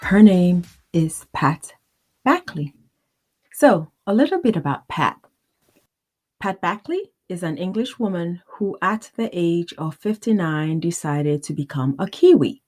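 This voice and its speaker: A woman from the United States hosting a podcast, reading a guest introduction and interviewing in a deliberate, clearly spoken way. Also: lowish voice, animated, darkish tone, faint music behind. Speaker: podcast host.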